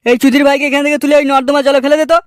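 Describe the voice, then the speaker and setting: A man voicing a curt, crude, bullying male gatekeeper in a comedy cartoon, with a pitched-up voice. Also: flat delivery, very fast, no room sound, clean studio sound. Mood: scornful, threatening.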